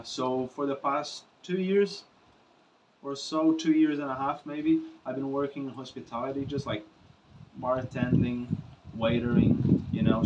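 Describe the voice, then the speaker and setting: A young man with a Brazilian accent talks freely to his camera, drifting between thoughts and leaning on filler phrases. His voice sits in an ordinary middle pitch for a man. The mic is set back from him and picks up a faint wash of room ambience. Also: darkish tone, far from the mic, a little hiss or hum, poor audio. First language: Portuguese. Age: young adult. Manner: casual, rambling, with frequent filler phrases and swearing. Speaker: musician vlogger. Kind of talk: unscripted talk to camera.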